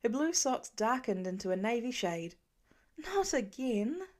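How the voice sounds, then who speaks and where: Woman reading a story audiobook style, highish voice, amateur narrator. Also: expressive, moderate pace, darkish tone. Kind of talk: scripted fiction narration.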